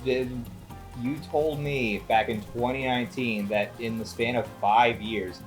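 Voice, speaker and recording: A man's voice: medium pitch, fairly even intonation, measured pace. Man chatting over background music. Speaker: co-host of a movie review show. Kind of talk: casual conversational film review.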